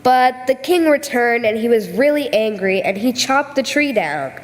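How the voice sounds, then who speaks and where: A woman's voice: a little high, lively intonation, moderate pace, lightly acted. A woman playing an earnest, food-loving village child in an amateur readers theater. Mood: downcast. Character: earnest village child who loves apple treats. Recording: reverberant hall, faint background noise.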